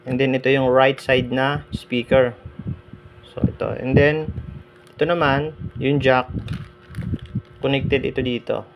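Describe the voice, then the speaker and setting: Male moto vlogger, mid-pitched, casual halting review, noisy background. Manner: relaxed, halting, many fillers. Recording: clear background noise.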